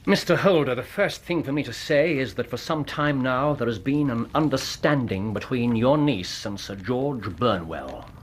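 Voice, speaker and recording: Victorian gentleman detective, male actor: British, formal, authoritative, mid-pitched, with some background noise. Radio drama. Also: expressive, normal speed, hardly any pauses, lightly acted. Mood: grave, certain. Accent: British English. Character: brisk, commanding, self-assured Victorian gentleman detective.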